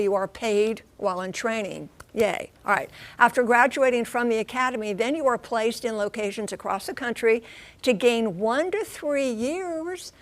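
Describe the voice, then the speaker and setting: A mature American woman reporting as a TV correspondent. Her voice sits on the high side. She explains conversationally, with folksy asides and emphatic delivery. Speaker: transportation correspondent. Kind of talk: conversational live TV news explainer.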